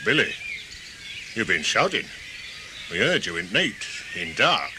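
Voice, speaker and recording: Gruff charcoal burner. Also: male voice, fairly low pitch, big pitch swings, unhurried, background noise. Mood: blunt, curious. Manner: terse, blunt, clipped dialect phrasing. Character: gruff rustic charcoal burner, plain and kindly countryman. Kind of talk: radio play acting.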